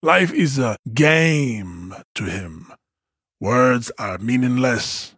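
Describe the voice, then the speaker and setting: A male actor voicing a haughty, contemptuous strongman ideologue in a video game, declaiming in a pompous, condescending way with a husky voice. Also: mid-range voice, expressive, very slow, fairly bright tone, no echo, clean studio sound, exaggerated voice. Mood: grave disdain. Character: haughty, towering male race-theorist strongman, contemptuous and grandiose. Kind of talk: scripted video game character dialogue.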